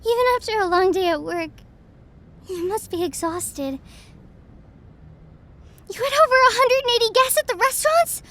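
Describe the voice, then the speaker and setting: A woman playing an emotional, self-doubting young best friend in a roleplay audio drama, speaking in a soft, halting, vulnerable way. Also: very high-pitched, animated. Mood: tender, caring. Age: young adult.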